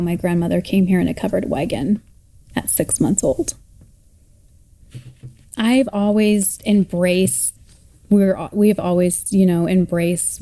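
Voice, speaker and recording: A woman in her thirties from Colorado tells her personal story in a reflective, unhurried way. Her voice sits a little low and sounds mellow and soft. She pauses often and restarts her thoughts as she speaks. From Colorado, United States. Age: 30s. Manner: reflective, halting, with many fillers and restarts. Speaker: oral history storyteller.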